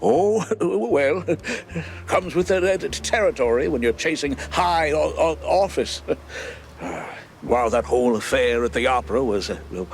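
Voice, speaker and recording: A man's voice: fairly high pitch, lively intonation, unhurried, a little hiss or hum. A man playing a nervous, bumbling cartoon mouse politician in a noir game, stammering and chuckling with forced joviality. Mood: nervously jovial. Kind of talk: animated noir game acting.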